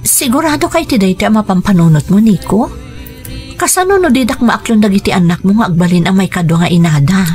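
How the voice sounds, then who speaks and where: A woman plays a young sweetheart in a radio drama, earnest, gentle and devoted. Her voice sits at a medium pitch, with music clearly underneath. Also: animated, a little fast, lightly acted. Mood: hesitant, devoted. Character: devoted young woman, hopeful future stepmother. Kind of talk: Ilocano radio drama acting.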